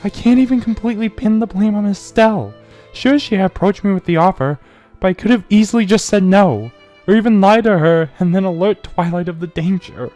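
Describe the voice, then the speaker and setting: Casting: amateur reader as frightened show mare, remorseful, high and lively, stammering, pony fan drama with music bed. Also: brisk, natural voice. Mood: guilty. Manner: stammering, pleading. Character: boastful show mare magician, guilt-ridden and frightened.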